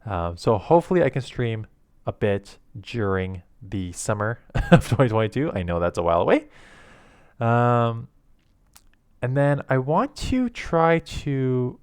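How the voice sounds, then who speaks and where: A man, rambling casually to camera in a monotone, low-key way on an old headset mic at his home desk. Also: measured pace, clear, resonant tone, no echo, clean studio sound. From Canada. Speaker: YouTube content creator. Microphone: old headset microphone.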